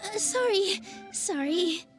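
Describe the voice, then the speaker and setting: A woman playing a gentle, earnest young forest fairy in a video game, speaking in a sweet, sincere, slightly bashful way. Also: extremely high pitch, animated, takes it slowly, full character voice. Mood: overjoyed, flustered. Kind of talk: video game character acting. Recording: game music faintly behind the voice.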